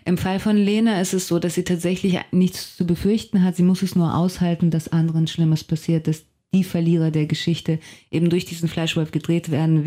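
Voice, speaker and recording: A woman's voice: fairly low pitch, warm tone. A woman whose first language is Russian, a novelist and translator, speaking as a guest in a radio studio interview and thinking aloud with care as she searches for words. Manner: thoughtful, reflective, searching for words.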